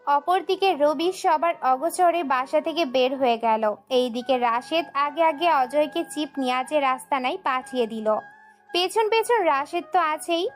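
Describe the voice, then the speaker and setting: A woman narrating a scripted dramatic story as its storyteller. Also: high voice.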